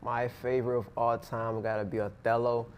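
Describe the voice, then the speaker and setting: A man's voice: medium pitch, little pitch movement, normal speed, a little mellow, a little hiss or hum. Earnest teenage boy, rambling answers. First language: not English. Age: teens. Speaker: interviewee, student actor. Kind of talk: casual interview answers.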